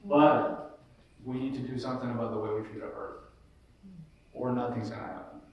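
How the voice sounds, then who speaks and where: A man's voice: mid-range voice, fairly even intonation, fairly quick, highs cut off, a little hiss or hum. Man from Colombia, panelist, earnest rambling talker, far-off echoey room recording. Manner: earnest, rambling, many fillers. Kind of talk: casual panel discussion. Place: large echoey room, live event.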